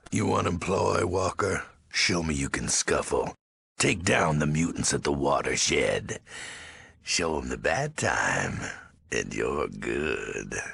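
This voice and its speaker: An actor playing a menacing, crazed undead ghoul gang boss in a video game, very raspy and gravelly, talking in clipped street slang. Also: male voice, a bass voice, sharp tone, no room sound, full character voice. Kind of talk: video game character acting.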